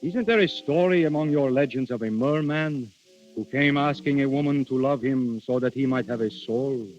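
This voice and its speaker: An actor playing Death in princely human guise, grandiose, yearning and imperious, in a 1930s radio drama. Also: male voice, medium pitch, moderate pace, a little mellow, soft background music, natural voice. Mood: yearning, brooding. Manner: theatrical, imperious, brooding. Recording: muffled 1930s radio recording.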